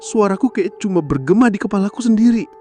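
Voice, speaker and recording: A man narrating a horror story in the first person, suspenseful and theatrical, pausing between short phrases. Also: sits high, lively intonation, warm tone. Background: background music.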